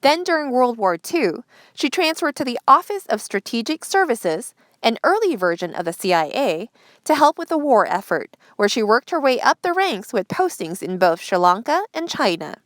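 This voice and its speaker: A woman reads a scripted feature as the host of a news series. Her voice sits on the high side and moves through a very lively range of pitch. The recording is close and dry, with little room sound. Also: moderate pace, no room sound. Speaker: host reading articles aloud. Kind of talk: scripted narration, read-aloud news feature.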